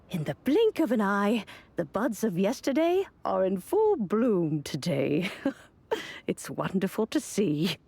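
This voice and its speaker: A woman playing a wise, long-lived female mentor in a video game, speaking in a gentle, measured, formal storytelling way. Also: lowish voice, gravelly, breathy edge, heavily acted. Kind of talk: video game character acting. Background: music.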